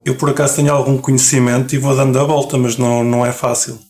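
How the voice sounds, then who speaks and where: A man's voice: medium pitch, quick pace, slight background noise. Chatty man with European Portuguese accent. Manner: relaxed, chatty, restarts words often. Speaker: podcast co-host. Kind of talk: casual chat.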